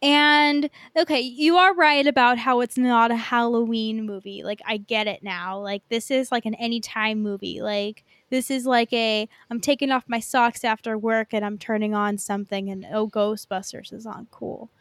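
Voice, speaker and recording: A woman's voice: a little high, steady tone, moderate pace, few pauses, fairly bright tone, dry recording. A woman co-hosting a podcast in casual movie chat, speaking informally with swearing and plenty of filler "like". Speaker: podcast co-host.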